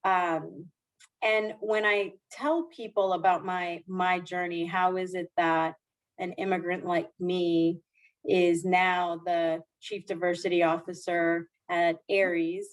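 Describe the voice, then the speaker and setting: Woman, 40s, lowish voice, earnest podcast guest on a remote call, lots of restarts. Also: little pitch movement, slow, poor audio. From Dominican Republic. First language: Spanish. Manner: warm, earnest, frequent restarts and soft laughs. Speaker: guest, diversity executive. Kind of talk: podcast interview.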